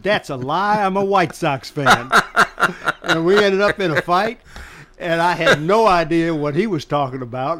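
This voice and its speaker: An older man from Chicago telling baseball stories in casual conversation, animated and laughing as he goes. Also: fairly high pitch. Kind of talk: casual storytelling.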